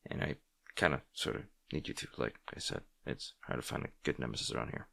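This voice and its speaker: A man's voice: very deep voice, fast talker, vocal fry, bright, forceful tone, dry recording, studio quality, full character voice. A man playing a wry, insecure, secretly fond supervillain rival in a roleplay audio drama, talking to the listener in a hesitant, conversational, sardonic way. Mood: earnest, hesitant.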